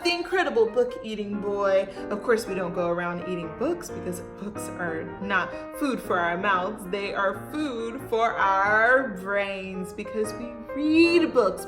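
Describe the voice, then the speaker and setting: Woman, children's storytime reader. High voice, very lively pitch swings, expressive, poses questions to young listeners. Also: roomy sound. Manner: expressive, dramatic pauses, asking listeners questions. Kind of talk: animated read-aloud for young children.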